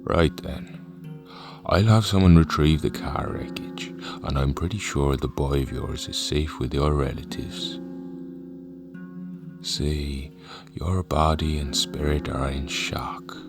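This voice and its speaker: A man acts out a village preacher for an audio drama, with an extremely low voice. He speaks slowly and deliberately, like a father calming his flock, yet with something quietly ominous underneath. Music plays clearly behind him. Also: heavily acted. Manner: slow, deliberate, paternal, calls the listener brother.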